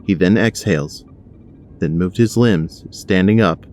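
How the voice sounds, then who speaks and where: A man's voice: sits low, a little slow, a little mellow, a little hiss or hum. A man narrating an action audio drama from a script.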